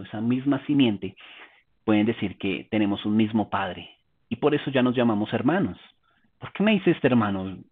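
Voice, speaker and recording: Male preacher, husky, calm teaching tone, tinny phone-line sound. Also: mid-range voice. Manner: calm, explanatory, asks rhetorical questions. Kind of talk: teaching sermon.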